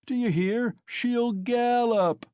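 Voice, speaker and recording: A man's voice: extremely high pitch, takes it slowly, mellow, smooth, dry recording, voice pushed up. A man voicing a drunken, thick-necked young male peasant who bellows and boasts in an audiobook. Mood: drunken bellowing.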